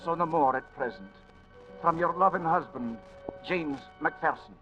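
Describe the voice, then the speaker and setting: A man's voice: on the high side, a little slow, natural voice. An actor playing a sorrowful, earnest husband voicing his farewell letter in a 1940s radio drama. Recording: dull old radio recording with music.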